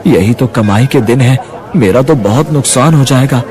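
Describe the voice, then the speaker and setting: A man's voice: medium pitch, normal speed, natural voice. A man voicing a worried, poor middle-aged toy seller in a cartoon, with faint music and crowd noise behind. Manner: anxious, pleading. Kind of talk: cartoon voice acting.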